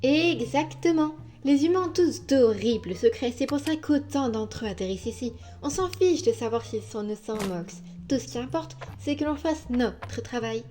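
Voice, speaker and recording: A woman playing a practical, encouraging demon assassin with a coaxing, matter-of-fact manner in an animated comedy fan dub. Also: high voice, moderate pace, few pauses. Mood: calm, coaxing. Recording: music behind the voice.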